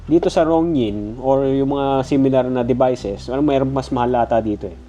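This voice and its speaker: A man's voice: medium pitch, brisk, mellow, faint music behind. Casual Filipino man with a stuffy nose. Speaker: product reviewer. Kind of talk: casual, conversational gadget review.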